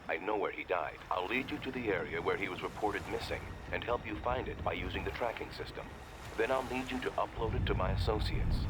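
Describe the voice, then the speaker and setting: A man playing a brisk, dry mission handler giving instructions in a video game. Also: low-pitched, background noise. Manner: businesslike, dry, urgent instructions.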